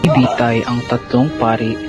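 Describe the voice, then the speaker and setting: A man acts as an earnest, proud young man of a historical drama, narrating his life with solemn formality. The sound is dull and lo-fi, with loud instrumental music underneath. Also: mid-range voice, level tone, normal speed, lightly acted. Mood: solemn, reflective. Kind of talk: scripted first-person narration in a student historical dramatization.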